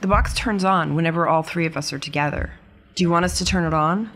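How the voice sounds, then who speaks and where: A woman playing an anxious niece who speaks haltingly in a tabletop game. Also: lowish voice, fairly bright tone, close to an everyday voice. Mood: questioning. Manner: halting, repeats words when flustered. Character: anxious young niece, worried for her mother.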